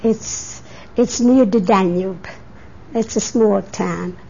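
Elderly Hungarian woman with a husky voice. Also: medium pitch, takes it slowly, warm tone. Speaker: interviewee, immigrant. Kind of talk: oral history recollection. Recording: dull 1980s tape interview recording.

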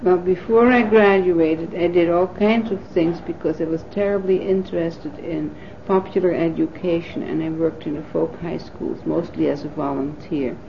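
A woman in her fifties from the Netherlands, a native Dutch speaker, recalling her life in an oral history interview with thoughtful pauses and fillers. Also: lowish voice, fairly even intonation, gravelly, slight background noise. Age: 50s. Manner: thoughtful, pausing with frequent fillers. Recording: dull lo-fi 1980s tape recording.

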